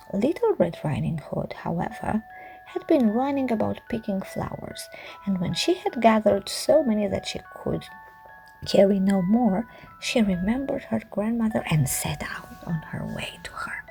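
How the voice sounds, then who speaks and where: A woman reading a children's fairy tale expressively as a storyteller, with hushed, breathy phrase endings. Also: medium pitch, low-quality recording. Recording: music under the voice.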